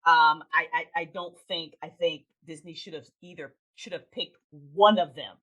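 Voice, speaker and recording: A woman's voice: sits low, fairly even intonation, moderate pace, bright, forceful tone. A woman in a casual, opinionated film chat, talking in an emphatic, blunt way and swearing freely. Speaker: guest, audio drama creator.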